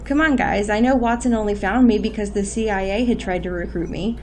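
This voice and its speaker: An actress playing a weary, commanding leader in an audio drama, with firm, dryly sarcastic delivery. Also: female voice, mid-range voice, fairly quick, a little mellow, soft background music, natural voice. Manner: firm, dry, sardonic.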